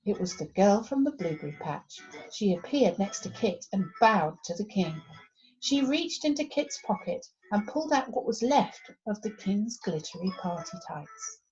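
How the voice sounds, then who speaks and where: Animated woman reading a children's story. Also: medium pitch, moderate pace, dull audio, soft background music. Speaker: primary school story reader. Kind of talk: expressive children's storybook narration.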